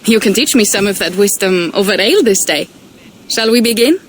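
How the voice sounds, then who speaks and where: A woman's voice: medium pitch, lively intonation, a little fast, slight background noise, natural voice, low-quality recording. An actress playing a proud Viking woman envoy, earnest and persuasive.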